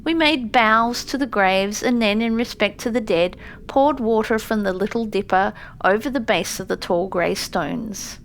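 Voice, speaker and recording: A woman reading a literary audiobook in a measured, careful storytelling manner. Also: mid-range voice, a little hiss or hum. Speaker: audiobook narrator. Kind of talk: scripted literary audiobook narration.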